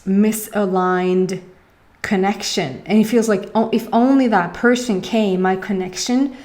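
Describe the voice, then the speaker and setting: A woman's voice: medium pitch, fairly even intonation, unhurried, a little mellow. A young woman with a Swedish accent, a native Swedish speaker, giving a casual tarot reading and often searching for her words. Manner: rambling, searching for words, many fillers. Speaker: tarot reader.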